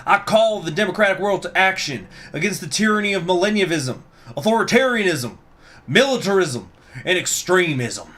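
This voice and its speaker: Man putting on an indignant high-pitched delegate, foreign accent. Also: animated, moderate pace, a bit bright. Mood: rousing, defiant. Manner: declamatory, emphatic, formal. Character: indignant male political delegate making a formal protest.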